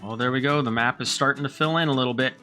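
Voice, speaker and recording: A man with a slight head cold, narrating his own game playthrough in a friendly, relaxed way. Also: mid-range voice, quick pace, over music. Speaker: let's play host. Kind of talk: gameplay commentary.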